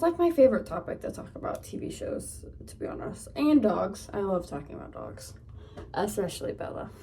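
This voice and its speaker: A young woman from Northern California with a Californian accent, chatting casually in a get-ready-with-me vlog. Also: on the high side. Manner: rambling, chatty, lots of fillers. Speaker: lifestyle vlogger. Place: home, getting ready. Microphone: phone camera. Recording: voice a bit off-mic, from a distance.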